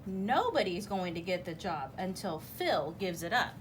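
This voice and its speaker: A woman's voice: fairly low pitch, very animated, a little slow, slight background noise. A woman voicing a fretful, bossy grown-up aunt groundhog in a children's storybook.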